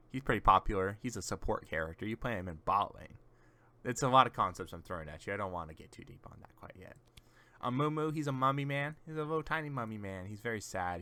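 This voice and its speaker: A man's voice: fairly low pitch, bright, forceful tone. A man casually chatting on a gaming stream, giving rambling, teasing explanations to a friend as he shows off game characters.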